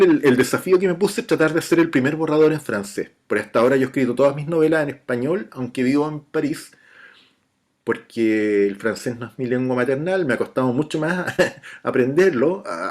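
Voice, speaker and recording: A middle-aged Chilean man talks about his work in an easygoing interview. He speaks at a medium pitch, loosely and conversationally. He often restarts his sentences and stops to think. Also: a little fast. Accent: Chilean Spanish. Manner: relaxed, chatty, with frequent restarts and light laughs. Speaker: novelist being interviewed. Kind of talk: casual interview talk.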